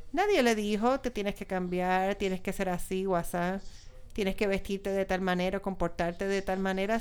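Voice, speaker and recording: A woman's voice: medium pitch, a little mellow, clean tone. A woman preaching a sermon with anecdotes, repeating key phrases for emphasis. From Pittsburgh, United States.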